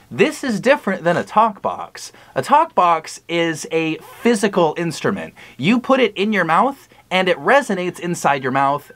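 A host giving a casual music tutorial with a playful, upbeat, informal way of talking. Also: medium pitch. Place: small cluttered home music studio room.

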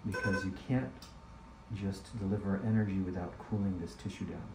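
A man working as an ear, nose and throat doctor, explaining a procedure to a patient in a measured, instructive way. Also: sits low, fairly even intonation. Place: small clinic exam room, indoors. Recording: roomy clinic room with background noise.

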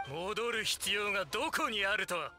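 A man's voice: high-pitched, expressive, moderate pace, sharp tone, soft background music, full character voice. An actor playing a boastful middle-aged male adventurer in a video game, speaking in a pompous, theatrical way. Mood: haughty, proud. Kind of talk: Japanese video game voice acting.

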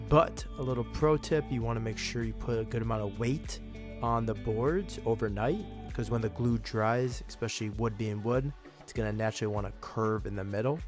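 A man giving a casual how-to voice-over, explaining each step as narrator. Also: medium pitch. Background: background music.

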